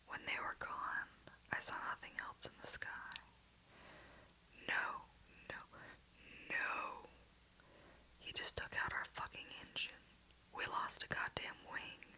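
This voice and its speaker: A woman telling a story in a soft, slow, soothing unvoiced whisper. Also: poor audio.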